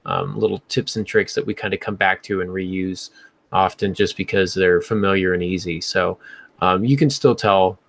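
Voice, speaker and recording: A man's voice: deep voice, steady tone, normal speed, vocal fry, fairly bright tone. A man hosting a casual panel discussion, explaining things in an instructive way. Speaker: podcast host.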